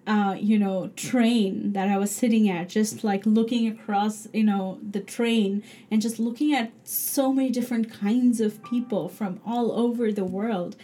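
A woman's voice: mid-range voice, steady tone, a little slow, hardly any pauses, dark tone. A young woman with a Bangladeshi English accent, a PhD student talking hesitantly about her research and moving abroad, with many fillers and restarts. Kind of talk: casual interview answer.

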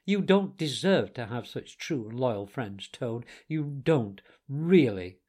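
A man's voice: mid-range voice, animated, slow pace, a little mellow. A man voicing a cross male water rat who lectures and reproaches his friend. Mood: reproachful.

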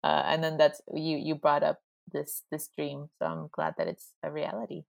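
A woman's voice: low-pitched, sing-song, moderate pace, vocal fry, darkish tone, no echo. A young woman from Argentina, a podcast guest, chatting casually with lots of fillers. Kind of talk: casual conversation.

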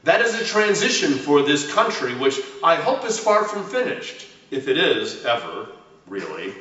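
Echoey, distant male preacher, slow and deliberate. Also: fairly high pitch, a bit bright. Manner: measured, deliberate phrasing with emphatic stresses and falling line endings. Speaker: pastor preaching a homily. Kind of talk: prepared sermon. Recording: very echoey room, distant mic, slightly dull sound.

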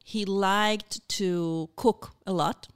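A woman's voice: mid-range voice, steady tone, slow pace, clear, resonant tone. A woman in her forties with an Argentine accent, a native Spanish speaker, giving casual explanatory answers as an interview guest. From Buenos Aires, Argentina. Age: 40s. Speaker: interview guest, university international student program staff.

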